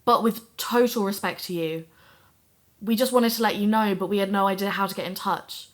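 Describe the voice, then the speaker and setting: A woman's voice: medium pitch, steady tone, normal speed, natural voice. A woman in her twenties voicing a troubled young podcaster in an audio drama, talking candidly to a voice recorder. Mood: earnest. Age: 20s. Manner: candid, self-deprecating, talking to herself. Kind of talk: audio drama, diary-style monologue.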